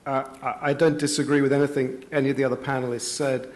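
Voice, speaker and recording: A man speaking as a panelist at a finance conference, with a loud voice and a measured, articulate way of talking. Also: mid-range voice, flat delivery. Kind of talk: panel discussion.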